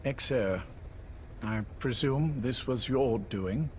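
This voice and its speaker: A man's voice: medium pitch, slow, a little mellow, natural voice. A man playing an older army major in a video game, reflective and restrained. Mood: wistful, sombre. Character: wistful, reserved older British military major. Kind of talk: dramatic video game voice acting. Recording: faint noise, recorded tape audio.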